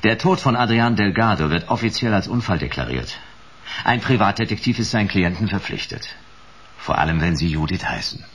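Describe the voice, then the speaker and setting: An actor playing a hard-boiled, wry private detective in a radio crime drama, laconic and dry. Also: male voice, fairly low pitch, animated, fairly quick, fairly bright tone, slightly rough. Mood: defiant, dry. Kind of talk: 1980s German radio crime drama acting with first-person noir narration.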